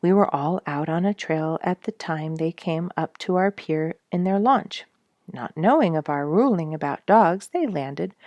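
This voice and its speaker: Female storyteller for audiobook narration, low register, expressive and deliberate phrasing, close dry sound. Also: no echo. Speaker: storyteller reading an audiobook chapter.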